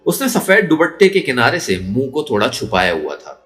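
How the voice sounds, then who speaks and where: A man's voice: mid-range voice, huge pitch swings, a little fast, a bit bright, soft background music. A man narrating a story in a dramatic, emotive and suspenseful way. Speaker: audiobook narrator.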